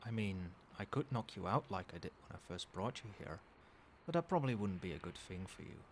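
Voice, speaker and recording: Man playing a low, soft-spoken, possessive doting boyfriend. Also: fairly quick, close to an everyday voice. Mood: softly menacing. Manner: coaxing, tender, pet names, quietly controlling. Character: possessive, doting yandere boyfriend, soothing yet menacing. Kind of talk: soft-spoken ASMR roleplay. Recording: steady background noise, night ambience. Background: rain and cricket sounds.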